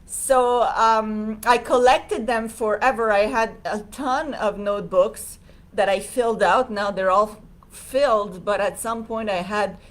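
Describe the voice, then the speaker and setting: Woman, mid pitch, unhurried pace, casual livestream host who pauses often. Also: steady tone. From Serbia. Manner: hesitant, many fillers, reads viewer comments.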